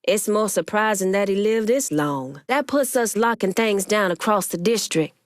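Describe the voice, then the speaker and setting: A woman's voice: mid-range voice, lively intonation, normal speed, dry recording, studio quality, close to an everyday voice. Woman acting a tough American female crime boss. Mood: calm, wry. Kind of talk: video game character dialogue.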